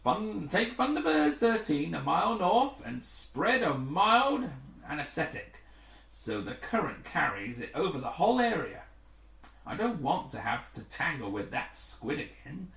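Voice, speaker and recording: A man's voice: a little high, sing-song, unhurried, creaky, a bit bright, far from the mic, heavily acted. A man voicing a decisive male rescue pilot in an adventure story, giving urgent, clipped instructions. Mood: focused, commanding. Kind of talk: acted adventure story dialogue. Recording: echoey, roomy home recording.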